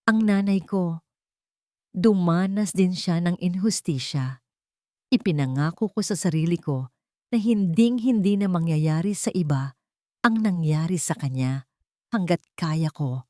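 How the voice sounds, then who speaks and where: A Filipino woman voicing a calm, professional male lawyer in a dramatic story, speaking in a measured, gentle way. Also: lowish voice, dry recording, clean studio sound. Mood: sad, resolute.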